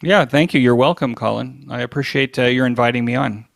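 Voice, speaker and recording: Male podcast guest, creaky mid-pitched voice, casual interview chat, dry sound. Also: fairly quick, no room sound.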